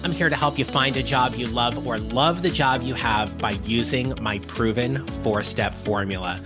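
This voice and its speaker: A middle-aged man hosting a career-advice podcast, his voice raspy from illness, speaking in an upbeat, encouraging, conversational way. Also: mid-range voice, normal speed, music in the background. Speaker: podcast host.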